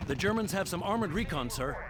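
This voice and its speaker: A man playing an alert, dutiful soldier giving brisk, deferential reports to his commander in a video game. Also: sits high, lively intonation, over a noisy crowd. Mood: urgent reporting. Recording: loud music and battle sounds behind. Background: music, artillery and vehicles.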